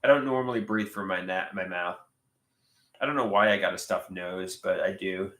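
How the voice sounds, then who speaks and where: A man's voice: low-pitched, a bit bright. Echoey, stuffy-nosed man in his thirties. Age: 30s. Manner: halting, repeats words and restarts sentences. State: stuffed nose. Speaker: livestream host. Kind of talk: casual livestream chat reading viewer comments. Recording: echoey room.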